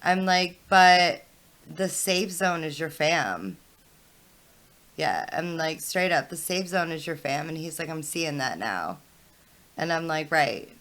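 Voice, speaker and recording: A woman in her thirties hosts a loose livestream chat in a bright, lower-set voice. She talks in loose, rambling runs, swears freely and leans on "like" between laughs. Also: lively intonation, takes it slowly. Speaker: stream host.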